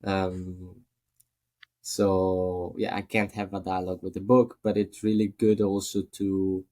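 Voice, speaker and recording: A man's voice: low voice, flat delivery, takes it slowly, dark tone. A man from Italy with an Italian accent, a guest in a casual podcast interview, speaking thoughtfully with many fillers.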